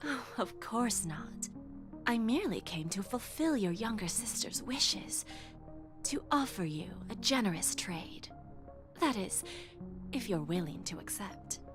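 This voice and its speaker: A woman's voice: mid-range voice, very animated, measured pace. Silky, calculating lady dealmaker. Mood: smooth, teasing. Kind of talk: English game voice acting. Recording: voice over loud game music.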